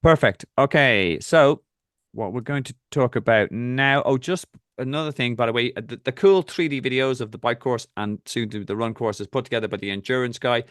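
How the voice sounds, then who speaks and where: A man's voice: medium pitch, no room sound, studio quality. A man with an Irish accent, a triathlon coach hosting a casual talk and giving direct, practical advice. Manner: direct, instructive, rapid asides.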